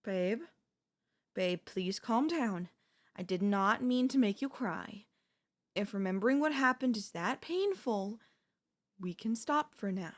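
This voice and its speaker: A woman playing a devoted, possessive wife in an ASMR audio roleplay, speaking haltingly with deliberate dramatic pauses. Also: medium pitch, animated. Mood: soothing. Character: devoted wife, shocked then eerily composed, quietly possessive.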